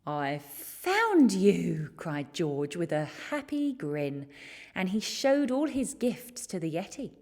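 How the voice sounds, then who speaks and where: Female children's storyteller, lowish and slightly husky, expressive, taking her time over picture-book narration. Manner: expressive, measured.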